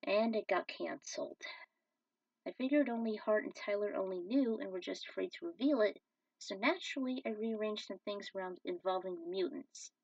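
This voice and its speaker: A woman's voice: mid-range voice, normal speed, darkish tone, husky, no echo. A woman narrating and commenting on her own fan fiction as an amateur storyteller. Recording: dull, slightly distant microphone.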